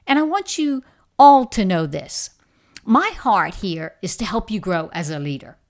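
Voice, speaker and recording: A middle-aged woman from the Bahamas speaks with a clear Bahamian accent, coaching her listeners with emphatic, encouraging storytelling. Her pitch swings widely, rising and falling with each point, though her voice sits at an ordinary middle pitch for a woman. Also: very animated, unhurried. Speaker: leadership coach. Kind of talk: motivational talk to camera.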